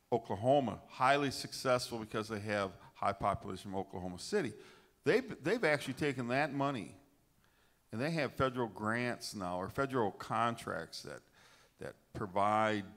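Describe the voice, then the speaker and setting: A man's voice: lowish voice, fairly bright tone. A middle-aged man answering an audience question on a discussion panel, earnest and rambling, often repeating words for emphasis. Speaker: panelist at a film screening discussion.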